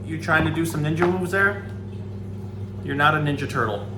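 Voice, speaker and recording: A man's voice: fairly high pitch, moderate pace. A man reading a children's story aloud expressively, breaking into casual asides to a pet. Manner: expressive, acts out the dialogue. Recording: echoey room, background hum.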